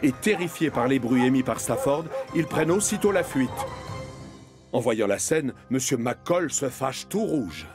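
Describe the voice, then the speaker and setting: A man narrates a children's cartoon in a storytelling style. His mid-pitched voice is lively and varied, with music playing clearly behind him. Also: a little slow.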